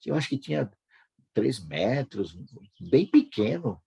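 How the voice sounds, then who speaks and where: A Brazilian man hosting a devotional broadcast, speaking informally and fervently with a husky voice, repeating phrases for emphasis. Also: medium pitch, big pitch swings, takes it slowly, mellow. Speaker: host of a devotional prayer broadcast.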